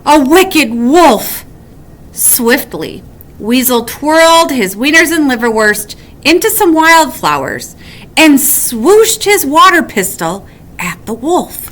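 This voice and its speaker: A woman reading a children's bedtime story aloud in an animated way with dramatic emphasis. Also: sits high, slow pace. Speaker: story reader.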